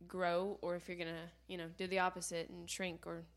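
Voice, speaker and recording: A young woman with a lowish, level voice talks casually on a faith podcast. She explains her point in a relaxed, steady way, with little rise and fall. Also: a little fast. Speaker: podcast co-host.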